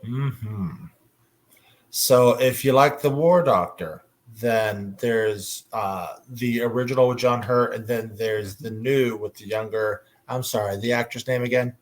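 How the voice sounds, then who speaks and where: A man moderating a casual panel discussion, often pausing to search for his words. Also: medium pitch.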